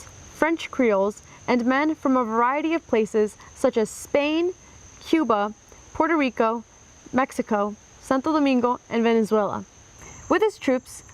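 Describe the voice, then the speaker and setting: A woman narrating a children's history book aloud with clear, expressive storytelling. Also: high-pitched, low-quality recording.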